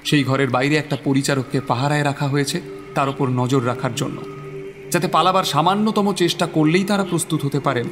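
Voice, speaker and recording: A man's voice: fairly high pitch, fairly quick. A man narrating a horror audio story from a script in a dramatic, suspenseful, theatrical manner. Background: music.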